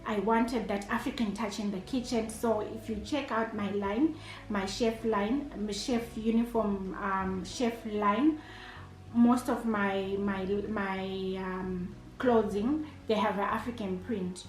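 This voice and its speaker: A woman's voice: mid-range voice, fairly even intonation, takes it slowly. A Zimbabwean woman in her thirties, an entrepreneur and chef, answering interview questions in a hesitant way, with many fillers and restarts. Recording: echoey room.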